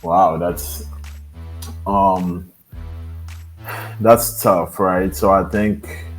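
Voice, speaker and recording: A man from Haiti with a Haitian accent, in his thirties, a podcast guest telling his own story in a soft, hesitant way with many fillers. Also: deep voice, steady tone, extremely slow, faint music behind, poor audio. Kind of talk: interview, personal storytelling.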